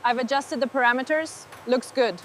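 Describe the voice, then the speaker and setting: A woman's voice: sits high, moderate pace. A woman giving short scripted status reports, like a factory technician. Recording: clear background noise.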